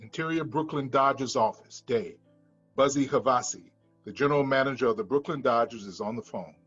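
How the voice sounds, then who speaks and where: Male narrator, mid pitch, reads stage directions, dull recording with faint music. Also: fairly quick, fairly bright tone, breathy edge. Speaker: screenplay narrator. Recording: dull lo-fi sound, music behind.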